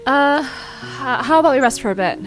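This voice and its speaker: A woman's voice: high-pitched, expressive, normal speed, over music, poor audio. A woman playing a cautious, hesitant young woman talking with friends in an audio drama. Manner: hesitant, questioning.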